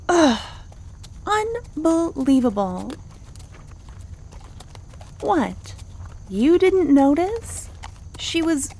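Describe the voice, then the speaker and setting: A woman's voice: sits high, darkish tone, a little hiss or hum, pitched above the performer's own voice, exaggerated voice. A woman voicing a jealous, possessive necromancer girlfriend in an ASMR roleplay, venting with theatrical indignation.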